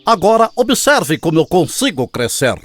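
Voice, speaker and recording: An actor plays an arrogant cartoon frog who fancies himself king. The voice is pompous and commanding, leaping widely up and down in pitch. Music plays clearly behind it. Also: sing-song, moderate pace, natural voice. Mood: boastful, pompous. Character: arrogant boastful bullfrog who wants to be king. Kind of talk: children's cartoon fable acting.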